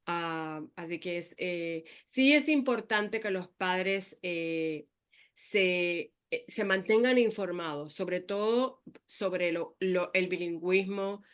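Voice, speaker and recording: A woman's voice: on the low side, slow, clean tone. A woman in her fifties hosting a podcast, who speaks thoughtfully with many hesitations and slips English fillers into her Spanish. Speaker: podcast host. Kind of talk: casual conversational interview.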